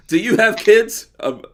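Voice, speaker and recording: A man's voice: high-pitched, background noise. Man, New York accent, podcast host, casual livestream chat, laughs a lot. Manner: laughs often, loose and joking.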